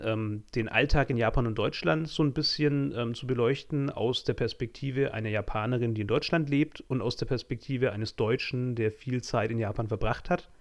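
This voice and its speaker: Franconian male podcast co-host, medium pitch, wordy with lots of ums, self-deprecating. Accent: Franconian German. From Franconia, Germany. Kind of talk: casual podcast intro.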